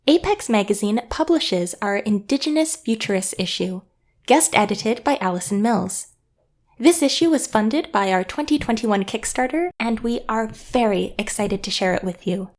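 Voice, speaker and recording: A woman's voice: fairly high pitch, animated, moderate pace, a little mellow. A woman giving a scripted podcast introduction as the show's host.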